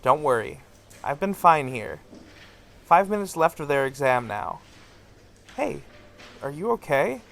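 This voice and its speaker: A quiet, reassuring young man, played by a man in an audio drama. He talks slowly, with a lively pitch line and a gravelly texture. The sound is dry and close. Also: exaggerated voice. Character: calm young man minding an exam.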